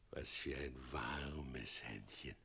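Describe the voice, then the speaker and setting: An actor playing a gloating, domineering town president and bridegroom in a radio play, theatrical, moving between murmurs and loud commands. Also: male voice, a bass voice, breathy edge, full character voice.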